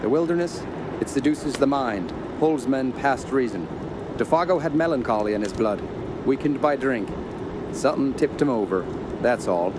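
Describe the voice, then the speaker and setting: An actor playing a learned, middle-aged rationalist uncle in a radio horror drama, measured and lecturing, encouraging yet dismissive. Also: male voice, medium pitch, animated, natural voice. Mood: grave, dismissive. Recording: clearly noisy outdoor ambience.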